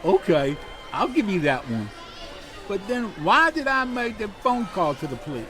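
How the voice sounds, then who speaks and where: A man's voice: high voice, very animated, moderate pace, fairly bright tone, soft background music, a faint crowd. A man voicing a cute, innocent and slightly dim teddy bear in a comic audio drama, hesitant and easily sidetracked. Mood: defensive, innocent.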